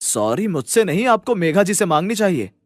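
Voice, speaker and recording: A protective young man in a moral story, acted by a man. He scolds earnestly, with animated intonation and a fairly high male pitch. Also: brisk, dry recording, studio quality. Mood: reproachful. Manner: reproachful, earnest.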